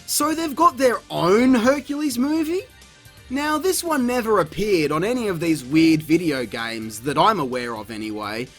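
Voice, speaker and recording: This is a man delivering a comedic review, sarcastic and exasperated, with plenty of swearing. His voice sits a little high and leaps up and down in pitch. Faint music plays behind him. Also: expressive, normal speed. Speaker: video reviewer.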